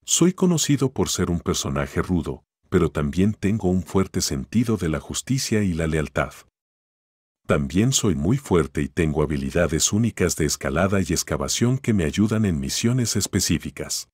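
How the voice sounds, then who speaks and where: A man plays a tough cartoon echidna guardian with a low, husky voice. He speaks in simple, friendly phrases, as if on a phone call with a young fan. The sound is close and dry, and he comes across as a loyal hero. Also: big pitch swings, normal speed, darkish tone, no echo, full character voice. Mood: earnest, proud. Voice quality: deep, husky. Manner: friendly, addressing a child listener in simple phrases. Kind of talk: scripted one-sided character phone call.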